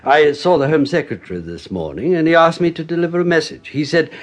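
An actor playing an earnest senior British police chief, husky-voiced, in a vintage radio drama. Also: male voice, fairly high pitch, animated, quick pace, natural voice. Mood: grave.

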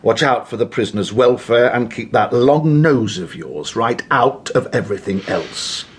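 Bossy tudor official, rough husky voice, really up and down, impatient and threatening, radio play. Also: male voice, medium pitch, lively intonation, moderate pace. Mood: quiet menace. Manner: brusque, commanding. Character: overbearing, menacing Tudor official, impatient and contemptuous. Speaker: senior official. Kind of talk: full-cast radio drama.

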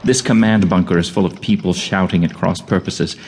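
An actor playing a bluff, paternal army general in an audio drama, plain-spoken and commanding. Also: male voice, deep voice, fairly even intonation, a little fast, a little mellow, breathy edge. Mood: brisk, encouraging. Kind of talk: full-cast audio drama acting.

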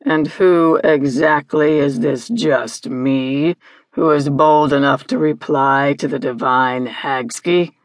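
A woman's voice: sits low, fairly even intonation, slow pace, no room sound, voice pushed down. A woman voicing an imperious, horrid hag who interrogates in a pompous, theatrical way in a storybook reading. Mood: imperious, demanding. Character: imperious, horrid invisible hag demanding answers, female.

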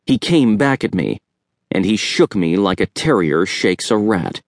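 A man voicing a gravely wounded young swimmer earnestly recounting an attack in an audiobook. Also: lowish voice, big pitch swings, measured pace, no echo, studio quality. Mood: dazed, horrified.

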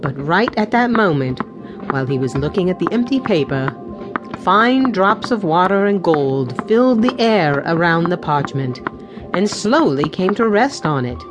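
A woman narrating a children's storybook audiobook with an expressive storytelling delivery. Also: fairly low pitch, unhurried. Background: background music.

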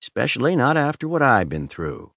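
A man putting on a crude, leering older convict in an audiobook, pitched well above the narrator's own low voice. He drawls, teases and toys with the listener, his voice swinging up and down. The read is dry and close. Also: very animated, fairly quick, vocal fry, fairly bright tone, no echo, full character voice. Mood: sly. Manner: drawling, teasing and sly. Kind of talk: audiobook character voice.

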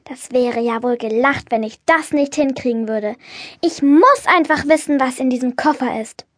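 A woman's voice: sits high, moderate pace, no echo, clean studio sound. A woman playing a determined, curious young witch girl in a children's audiobook. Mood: eager, determined.

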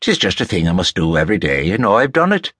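A man's voice: low voice, sing-song, fast talker, a bit bright, breathy edge, no room sound, studio quality, exaggerated voice. A man voicing an amiable, teasing Irishman with a folksy, jovial way of talking in an audiobook. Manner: folksy, jovial turns of phrase. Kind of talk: audiobook character dialogue.

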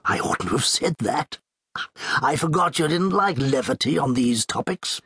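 A man's voice: mid-range voice, lively intonation, moderate pace, a bit bright, slightly rough, dry recording, studio quality, pitched above the performer's own voice, full character voice. A man voicing a jovial, mischievous, bantering friend in an audiobook. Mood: mock apologetic. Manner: bantering, breezy. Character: jovial, teasing male friend, breezy and mischievous. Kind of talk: audiobook character dialogue.

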